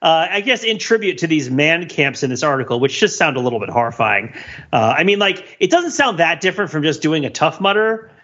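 Bright, punchy American man on slightly dull audio. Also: on the high side, very animated, brisk. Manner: playful, riffing, mock-earnest. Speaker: podcast co-host. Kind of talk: casual comedic banter. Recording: slightly dull, lo-fi microphone sound.

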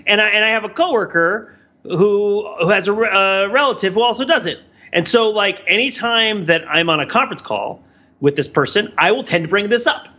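A man's voice: sits high, brisk, pushed and bright, lo-fi sound. A man co-hosting a podcast in casual, analytical chat, thinking aloud in a discursive way. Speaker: podcast co-host.

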